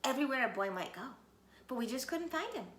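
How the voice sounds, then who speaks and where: A woman's voice: high voice, expressive, fast talker, a bit bright, slight background noise. Brief: grown male wolf, stern and fatherly, a woman putting him on, echoey room. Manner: barking, stern.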